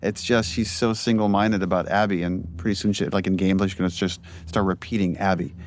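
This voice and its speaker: Man, low voice, casual game director commentary, noticeable background noise. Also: steady tone, fast.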